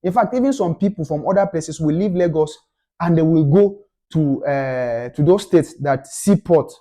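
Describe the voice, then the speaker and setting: A man's voice: on the high side, mellow. A young Nigerian man with a Nigerian accent, delivering a passionate opinion rant to camera and repeating his points for emphasis. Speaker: commentator.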